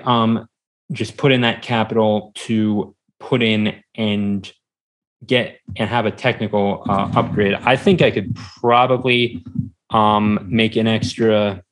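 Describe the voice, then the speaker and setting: A man's voice: lowish voice, poor audio. A man chatting casually as a podcast guest, hesitant and rambling, often repeating himself, on a podcast microphone. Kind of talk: casual interview chat. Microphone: standard podcast microphone.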